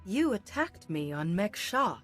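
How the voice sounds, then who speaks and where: An actress playing a composed, resolute Jedi heroine in a video game, calm and direct. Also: female voice, medium pitch, a little slow, faint music behind. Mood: wary, questioning. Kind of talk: scripted video game acting.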